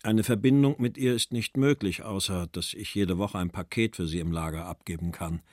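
An actor reading as a forty-year-old male writer, in a measured, dry, expressive delivery of personal letters. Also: sits low, no echo, close to an everyday voice. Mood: worried. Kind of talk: dramatic literary letter reading.

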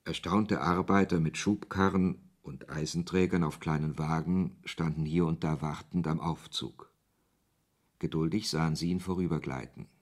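A man's voice: a bass voice, fairly even intonation, moderate pace. A man reading scripted literary narration as the narrator of a radio play. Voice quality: husky.

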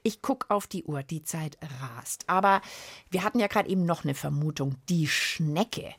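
A woman's voice: low voice, moderate pace, slightly rough, dry recording. A woman co-hosting a children's science podcast in casual, chatty talk. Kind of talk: lively scripted-casual chat.

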